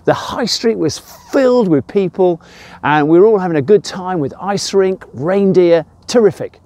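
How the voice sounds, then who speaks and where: A man's voice: high voice, animated, normal speed, mellow, no room sound. An older man with an English accent giving an informal devotional talk in an emphatic way, with personal anecdotes. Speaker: church devotional presenter.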